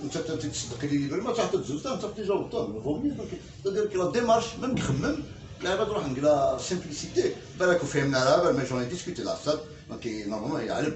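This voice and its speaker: A man's voice: fairly high pitch, sing-song, very fast. An echoey, slightly distant recording of a man with an Algerian accent. His intonation swings widely as he makes his case emphatically, repeating words and wandering off mid-sentence in an informal discussion. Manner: emphatic, repetitive, digressive, switches into Arabic. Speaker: speaker in a literary discussion. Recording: echoey room, distant mic, faint noise.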